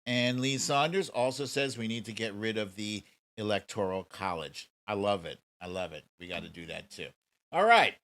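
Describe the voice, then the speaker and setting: A man's voice: lowish voice, expressive, moderate pace, a bit bright. A man hosting a live stream in casual, playful commentary, chatting to viewers in slangy phrases that he often repeats.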